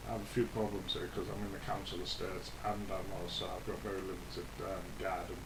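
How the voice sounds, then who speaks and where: A man's voice: deep voice, level tone, brisk. British man rambling in an echoey, noisy recording. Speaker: hobbyist video host. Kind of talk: casual DIY vlog explanation. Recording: echoey, clearly noisy home-video sound.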